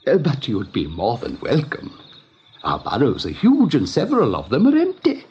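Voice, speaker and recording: A man's voice: medium pitch, wildly swooping pitch, normal speed, darkish tone, slightly rough, heavily acted. A man playing an urbane, over-polite, slyly welcoming rabbit in an eighties radio drama, with languid, affected manners. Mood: smooth, amused. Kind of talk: 1980s radio drama acting. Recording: dull, faintly noisy old radio tape.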